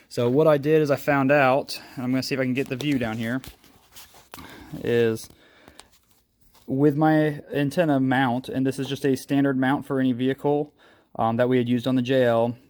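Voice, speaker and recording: A man hosting a casual how-to video, explaining step by step as he demonstrates. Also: mid-range voice. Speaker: host of a DIY vehicle video.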